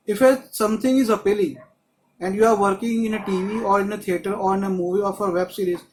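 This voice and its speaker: A man's voice: high-pitched, fairly even intonation, quick pace, slight background noise, rough recording. A man teaching a screenwriting class on a video call, explaining in a loose, rambling way with many restarts. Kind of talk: live online class.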